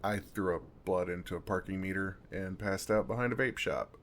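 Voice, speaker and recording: A man playing a kind, wry older vampire in an intimate ASMR roleplay, talking in a gentle, casual, dryly sarcastic way. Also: deep voice, moderate pace, a little hiss or hum. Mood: wry, self-deprecating.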